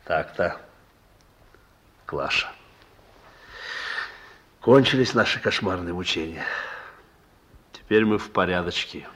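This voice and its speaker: A man's voice: sits low, lively intonation, takes it slowly, long pauses, fairly bright tone, full character voice. An actor playing a reformed young crook and proud new father in a Soviet radio drama, talking in a colloquial, boastful, earnest way. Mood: tender, boastful. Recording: noisy old Soviet radio recording.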